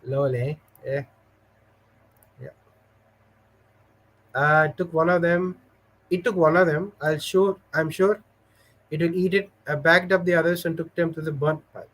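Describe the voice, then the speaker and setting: Chatty man with a slightly high voice. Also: fairly even intonation, unhurried, pauses often, fairly bright tone, rough recording. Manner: rambling, hesitant, full of "uh" fillers; storytelling. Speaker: livestream host. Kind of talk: casual livestream chat, reading viewer comments.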